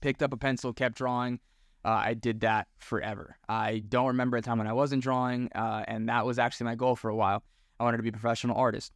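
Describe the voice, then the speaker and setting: A man from Florida, a podcast guest who tells stories in a relaxed, slangy way. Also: mid-range voice, fast, clear, resonant tone, no room sound, clean studio sound. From Orlando, Florida, US. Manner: relaxed, slangy, talks with friendly bro energy. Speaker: podcast guest, tattoo shop owner. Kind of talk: casual storytelling chat.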